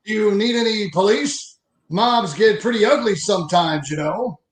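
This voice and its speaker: In a roomy, echoing space, a man performs a rich old banker with a strikingly high voice. He is imperious and smug, a scheming radio play villain who makes every offer sound like a threat. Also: normal speed, natural voice. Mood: needling. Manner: imperious, calculating. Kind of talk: live radio play acting.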